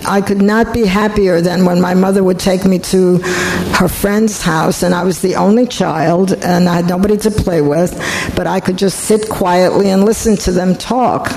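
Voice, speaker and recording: A woman in her eighties from Poland, thinking back in an oral history interview with many pauses and restarts. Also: on the low side, fairly even intonation, darkish tone, slight background noise, low-quality recording. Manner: reflective, halting, frequent restarts.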